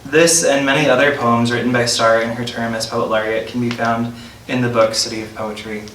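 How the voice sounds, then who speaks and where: A man's voice: medium pitch, level tone, a little fast, a bit bright. A man acting as host, reading a scripted introduction of a featured guest aloud. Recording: roomy, distant mic, faint noise.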